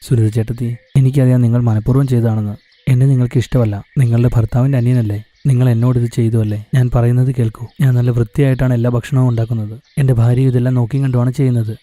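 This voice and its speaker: Man as a very mellow, gentle young husband. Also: mid-range voice, flat delivery, fast, close to an everyday voice. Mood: earnest. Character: gentle, earnest young husband and food-stall seller. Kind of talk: cartoon moral story acting.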